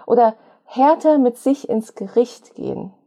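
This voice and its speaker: A woman's voice: a little high, unhurried, dark tone. A woman talking in a casual, chatty way in conversation. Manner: chatty, laughs often while talking.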